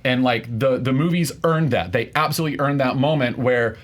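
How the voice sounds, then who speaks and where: Man making an emphatic, halting fan argument. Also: mid-range voice. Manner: halting, emphatic, builds by repetition. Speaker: contestant in a film debate show. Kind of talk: passionate unscripted debate argument.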